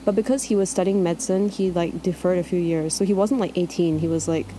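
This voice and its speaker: Young woman from Scotland, dark-toned chat. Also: on the low side, fairly even intonation, low-quality recording. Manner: chatty, lots of fillers, quick asides. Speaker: podcast co-host. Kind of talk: casual chat. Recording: faint laptop fan noise.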